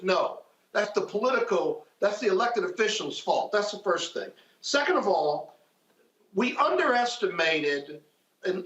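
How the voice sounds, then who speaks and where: High-voiced man arguing, echoey dull sound. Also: fairly bright tone. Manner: argumentative, poses rhetorical questions. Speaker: political commentator on a panel. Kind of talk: unscripted political panel discussion. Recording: echoey room, dull lo-fi call audio.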